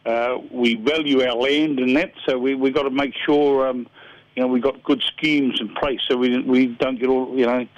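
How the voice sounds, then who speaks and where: A man's voice: mid-range voice, steady tone, moderate pace, dry recording. An older man with a New Zealand accent, speaking earnestly and plainly as a council candidate in a phone interview. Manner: earnest, plain-spoken, searching for words. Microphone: telephone. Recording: muffled phone line.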